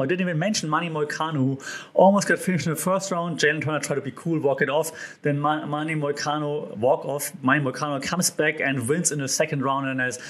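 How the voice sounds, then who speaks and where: Chatty man hosting a solo podcast. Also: on the high side. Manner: casual, chatty, frequent fillers and restarts. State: slightly hoarse. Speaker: podcast host.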